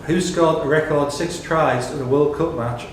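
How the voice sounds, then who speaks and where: A man's voice: on the high side, moderate pace. A man reading out questions and answers as the host of a live pub quiz. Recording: very echoey room with background noise.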